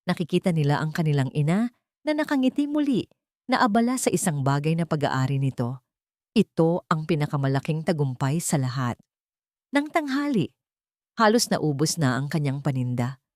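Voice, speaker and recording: A woman's voice: low-pitched, a little mellow, no echo, clean studio sound. A woman narrating a scripted dramatic story.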